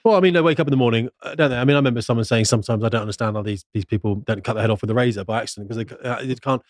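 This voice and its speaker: A man chatting casually and quickly as a guest on a panel show. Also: mid-range voice, dry recording, clean studio sound. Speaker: podcast guest, flying instructor.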